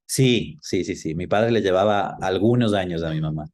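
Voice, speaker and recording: A man's voice: sits low, moderate pace, a little mellow. A man speaking conversationally as an interview guest, thoughtfully searching for words and rephrasing as he goes.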